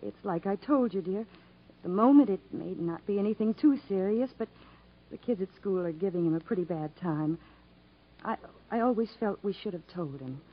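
Gentle, anxious wife, muffled radio. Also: female voice, medium pitch, lively intonation, moderate pace. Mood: worried, gentle. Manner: tender, earnest. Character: devoted, anxious wife and mother, gentle and supportive. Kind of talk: 1950s radio drama acting. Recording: muffled, lightly hissy 1950s radio recording.

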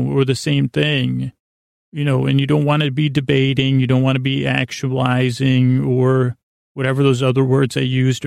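A man with a creaky voice hosting a sleep podcast, rambling in a halting, meandering monologue with a self-deprecating, gently absurd manner. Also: medium pitch, dry recording, clean studio sound. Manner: meandering, halting, circling back on himself. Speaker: podcast host.

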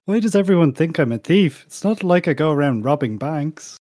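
A man's voice: a little high, expressive, a little fast, a little mellow, no room sound, studio quality, lightly acted. A man playing a cheeky, indignant young suspect protesting under interrogation in an improvised comic radio play. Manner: protesting, incredulous.

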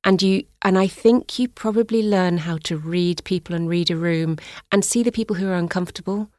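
A woman's voice: lowish voice, fairly quick, darkish tone, no echo. A woman in her forties from north-west England, a guest in a studio interview, speaking thoughtfully and searching for her words with restarts. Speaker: guest, music journalist. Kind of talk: reflective conversational interview.

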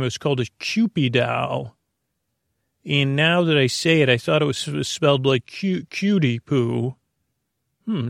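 A man hosting a bedtime podcast in a creaky, gentle, lulling voice, meandering hesitantly off into tangents. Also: medium pitch, no echo, studio quality. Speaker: host of a bedtime-story podcast. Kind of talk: rambling sleep-podcast monologue.

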